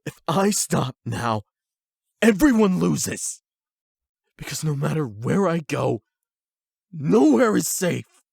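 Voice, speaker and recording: A man's voice: fairly high pitch, big pitch swings, slow, creaky, pauses often, a little mellow, husky, no echo, studio quality, heavily acted. A man playing an angry, hurt young delinquent, defiant and sarcastic, in an emotional anime-style audio drama. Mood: desperate, frustrated. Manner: sweary, sarcastic, confrontational.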